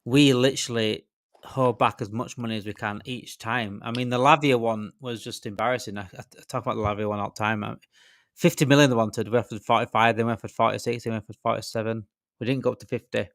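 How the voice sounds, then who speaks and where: A man in a casual football chat, speaking wryly with halting, restarted phrases. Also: medium pitch, fairly even intonation, a bit bright, no room sound. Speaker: football fan show panelist.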